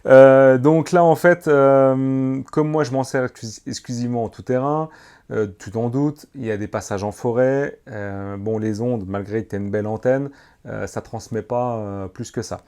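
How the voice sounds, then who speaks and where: A man's voice: mid-range voice, fairly even intonation, moderate pace, darkish tone, clean tone. A man gives a casual, slangy gear review, speaking to the viewer as to a friend. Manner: informal, slangy, addresses the viewer familiarly. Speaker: motorcycle vlogger reviewing gear. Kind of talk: casual product review to camera.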